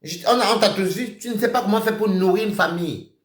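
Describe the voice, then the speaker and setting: A man ranting on a live stream, accusing someone directly. He repeats himself and swings between shouting and muttering. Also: high-pitched, fast, reverberant. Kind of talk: heated live-stream rant.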